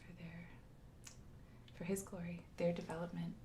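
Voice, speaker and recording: A lowish woman whose soft, gravelly voice often sinks to a hushed near whisper as she agrees and encourages. There is a faint hum behind her. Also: little pitch movement, moderate pace. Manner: soft-spoken, often drops to a whisper, affirming. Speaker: guest, new mother. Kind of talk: casual chat.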